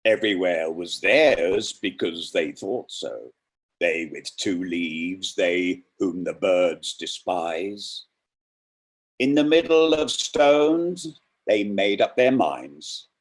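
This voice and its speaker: Guy reading poems out loud, lowish voice, really slow n deliberate, chatty intros. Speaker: poetry reader and presenter. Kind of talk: poetry reading with informal spoken introductions.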